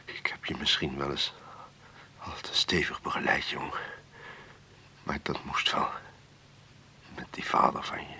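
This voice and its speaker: A man acting a gloomy, ailing, wealthy old uncle in a Dutch radio play, speaking haltingly, wearily and with resignation. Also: extremely low pitch, steady tone, creaky, sharp tone, whispered, full character voice. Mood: weary, fond.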